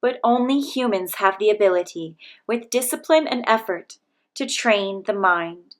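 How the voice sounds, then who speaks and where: A woman reading a picture book aloud to children, in a gentle, measured way. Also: medium pitch, lively intonation.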